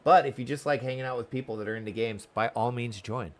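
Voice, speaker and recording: A man's voice: medium pitch, fast talker. A man live-streaming a game, chatting casually and welcomingly with viewers while commenting on the story, often repeating words.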